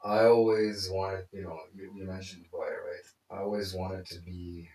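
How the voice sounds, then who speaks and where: A man's voice: sits low, flat delivery, moderate pace, a little mellow. A man from Lebanon, a consultant, chatting casually and rambling with lots of fillers and restarts. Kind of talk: casual conversation. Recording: echoey room, mic far off.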